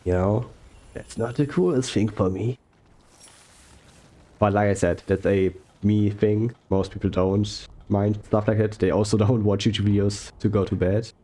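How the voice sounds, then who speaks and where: Young male gaming commentator, mellow tone, slow soft-spoken rambling delivery. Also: fairly low pitch, steady tone. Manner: rambling with fillers and self-corrections. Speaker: gaming YouTuber. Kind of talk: relaxed gameplay commentary.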